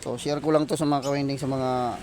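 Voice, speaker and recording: Man, flat-voiced, over loud background noise. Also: mid-range voice. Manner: halting, repetitive, addresses viewers often. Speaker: electronics repair vlogger. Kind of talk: casual hands-on repair tutorial. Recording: loud vehicle and outdoor ambient noise.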